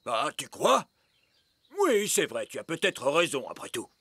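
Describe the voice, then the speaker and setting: A man's voice: a little high, very animated, unhurried, a bit bright, breathy edge, exaggerated voice. A man playing a stern, alarmist elf elder in a French cartoon dub, pompous and indignant in manner. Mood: stern, grudging.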